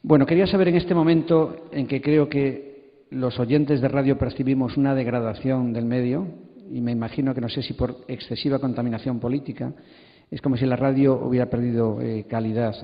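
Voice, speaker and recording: Reverberant middle-aged Spanish man moderating. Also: mid-range voice. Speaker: panel moderator. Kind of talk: hesitant spoken moderating. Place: large hall at a congress. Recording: muffled, long hall reverb.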